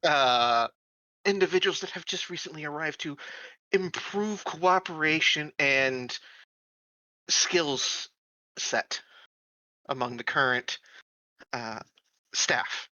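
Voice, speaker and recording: Guy playing a tabletop rpg, stutters into sentences, bright voice, bit muffled. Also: a little high, dry recording. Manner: halting, stammering, repeats first syllables. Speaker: tabletop roleplaying game player. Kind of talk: improvised in-character tabletop roleplay.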